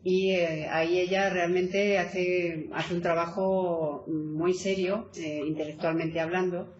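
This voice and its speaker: A woman author presenting her book in relaxed conversation, talking in an animated way with many fillers and restarts. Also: lowish voice, slow pace. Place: meeting hall. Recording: echoey hall, dull lo-fi sound.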